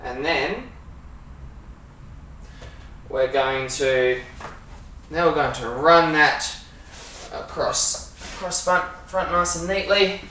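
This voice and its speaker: A man with an Australian accent giving a casual, halting hands-on demonstration as a sound engineer while he works. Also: on the high side, bright, forceful tone. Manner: informal, halting, talking while working with hands. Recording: echoey room, distant mic, faint noise.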